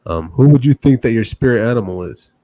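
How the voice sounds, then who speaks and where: A man's voice: mid-range voice, animated, fairly quick, dark tone, no echo. A man in his twenties hosting a podcast, asking questions in a casual, friendly, slangy way. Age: 20s. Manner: casual, friendly slang, says bro a lot. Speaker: podcast host. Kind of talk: casual interview.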